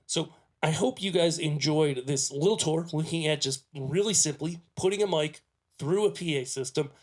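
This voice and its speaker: Male tutorial presenter, slightly higher-pitched voice, conversational and explanatory, informal hands-on teaching style. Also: normal speed, a little mellow. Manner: conversational, explanatory, often checking with "okay?".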